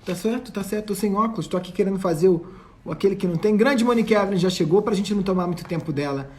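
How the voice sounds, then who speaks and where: A man's voice: sits high, racing, warm tone, breathy edge. A Brazilian man hosting a casual live online chat, effusive and informal, often repeating his phrases. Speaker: host. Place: online live video call.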